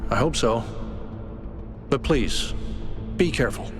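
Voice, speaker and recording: Cautious, concerned colleague, earnest and measured game dialogue, mid-range voice, clear music underneath. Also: male voice, mid-range voice, expressive. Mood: worried, earnest. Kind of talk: naturalistic video game voice acting. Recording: music behind the voice.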